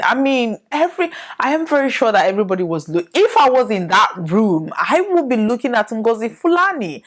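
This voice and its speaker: Nigerian woman musing aloud as host. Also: mid-range voice. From northern Nigeria. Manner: reflective, asks many rhetorical questions. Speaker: podcast host. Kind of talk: solo opinion commentary to camera.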